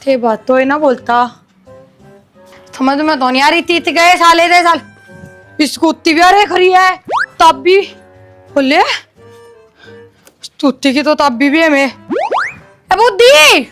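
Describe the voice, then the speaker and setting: A woman's voice: high-pitched, unhurried, pauses often, a bit bright, soft background music. A woman playing a scatterbrained village woman in a comedy sketch, with a Haryanvi accent, a childish lisp and blunt, chattering talk. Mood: grumbling, puzzled. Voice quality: lisping, swaps consonants like a toddler.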